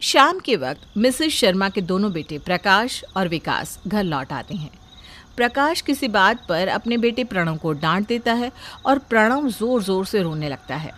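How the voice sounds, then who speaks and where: A woman's voice: mid-range voice, big pitch swings, moderate pace, a bit bright, slight background noise. A woman narrating a family moral story and voicing its characters. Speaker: narrator and voice actor.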